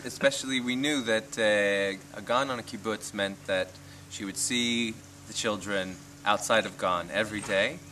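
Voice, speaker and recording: A man's voice: on the low side, fairly even intonation, normal speed, hardly any pauses, pushed and bright, distant mic, poor audio. A man of thirty from Kansas, answering interview questions earnestly with some hesitation. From Kansas, USA. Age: 30s. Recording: off-mic with clear background noise.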